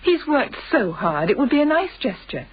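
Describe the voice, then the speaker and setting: An actress playing an affectionate, teasing British wife with a breathy voice in a radio drama. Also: female voice, sits high, sing-song, moderate pace, heavily acted. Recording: dull, muffled radio drama recording.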